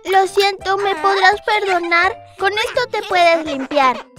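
A woman voicing a lively young cartoon lion cub, narrating in chirpy sing-song rhymes. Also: extremely high pitch, takes it slowly. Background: children's music.

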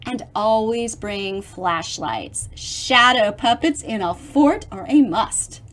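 High-voiced, highly animated woman storyteller. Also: slow pace, poor audio. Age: middle-aged. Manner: expressive, theatrical, with dramatic emphasis and sound effects. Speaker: storyteller reading picture books aloud to children. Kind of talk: animated read-aloud with explanations for young children.

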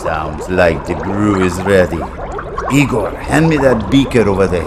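Mad scientist host, low pitch, unhurried, theatrical, eerie, bubbling noise behind. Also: male voice. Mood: gleefully eerie. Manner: theatrical, drawn-out delivery. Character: sinister hospitable mad-scientist horror host, cackling brewer. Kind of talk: Halloween horror radio drama storytelling.

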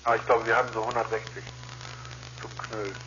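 A man's voice: on the low side, little pitch movement, a little fast. A man in a casual two-way chat as an amateur radio operator, heard over a radio link. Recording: lo-fi ham radio link with hiss.

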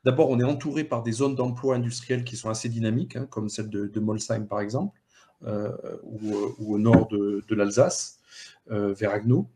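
A middle-aged man giving an explanatory talk over a video call on a headset, halting and repeating small words as he goes. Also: lowish voice, steady tone, mellow, a little hiss or hum. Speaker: local economic development director, panellist. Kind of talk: explanatory webinar presentation. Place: indoor room, on a video call.

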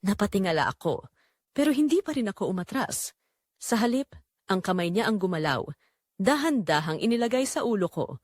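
A woman playing a married mother who narrates a secret confession in an audio drama, with deliberate, dramatic delivery in short phrases. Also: mid-range voice, very animated, no echo, clean studio sound. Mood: intense, confessional. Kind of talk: scripted first-person audio drama narration.

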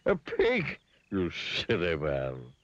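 A man's voice: deep voice, wildly swooping pitch, slow pace, no room sound, dull audio, exaggerated voice. A man voicing a smug, sly elephant in a children's story, drawling condescendingly. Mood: mocking.

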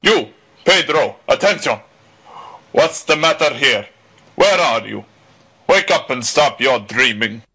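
An actor playing a blustering, swaggering bully who barks imperious, insulting orders in a dramatised audiobook. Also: male voice, medium pitch, animated, slow pace, pauses often, bright, forceful tone, slightly rough, heavily acted. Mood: roaring, impatient.

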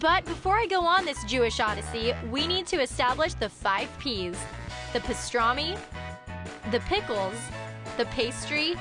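A woman's voice: high voice, animated, measured pace. A young woman host reading scripted television narration. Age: young adult. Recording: music under studio voice-over. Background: music.

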